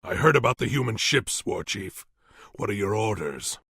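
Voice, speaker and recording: An actor playing a dutiful, rough orc warrior in a video game, with a very raspy, gravelly voice. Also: male voice, on the low side, animated, normal speed, pushed and bright, no echo, studio quality, exaggerated voice.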